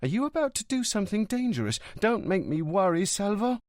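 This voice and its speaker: A man voicing an anxious, affectionate young woman in an audiobook, raising his voice to a soft, pleading tone. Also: on the low side, big pitch swings, moderate pace, no echo, studio quality, pitched above the performer's own voice. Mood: worried.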